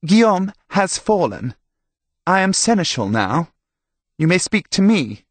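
A man's voice: high voice, very animated, unhurried, no room sound, pitched above the performer's own voice, heavily acted. A man voicing a pompous, scornful young castle herald in an audiobook, easily offended and full of his own rank. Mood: haughty, dismissive.

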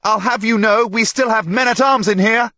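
Man putting on a haughty young herald, pitched far up. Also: big pitch swings, a little slow, no echo, exaggerated voice. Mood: indignant. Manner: pompous, scornful. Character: haughty pale young male castle herald, easily offended.

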